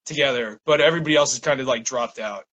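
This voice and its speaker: A man's voice: medium pitch, fast talker, a bit bright, dull audio. A man in his thirties talking casually as an interview guest, slangy and peppering his speech with "like" and "man". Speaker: interview guest, poet and small-press publisher.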